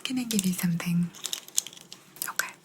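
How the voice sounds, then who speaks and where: A woman playing a soothing, softly bossy alien abductor in a hushed, breathy ASMR whisper roleplay. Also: fairly low pitch, very noisy, lightly acted. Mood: calm, clinical, attentive. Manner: slow, soothing, hushed, matter-of-fact.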